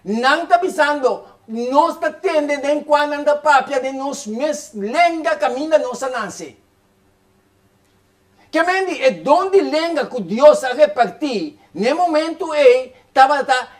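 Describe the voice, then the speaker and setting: High-voiced man preaching a fervent sermon. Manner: fervent, rapid preaching with repeated phrases.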